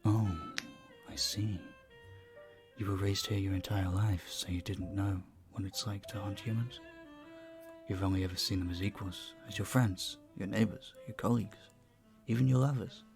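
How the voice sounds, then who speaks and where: Man playing a low, creaky-voiced vampire bartender. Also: steady tone, moderate pace, music in the background. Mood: thoughtful, resigned. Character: earnest, courteous, flirtatious male vampire bartender. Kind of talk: intimate scripted audio roleplay.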